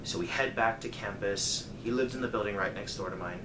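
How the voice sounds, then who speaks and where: A man with a lowish voice tells a casual story to his viewers, animated when he retells conversations. The recording is roomy and echoey, with clear background noise behind him. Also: a little fast. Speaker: storyteller vlogger.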